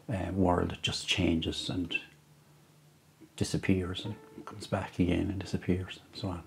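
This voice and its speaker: Irish man, very low voice, dark mellow tone, thoughtful, halting, many restarts, interview at home. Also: creaky, a little hiss or hum. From Ireland. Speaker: musician and storyteller. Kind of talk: reflective interview answers.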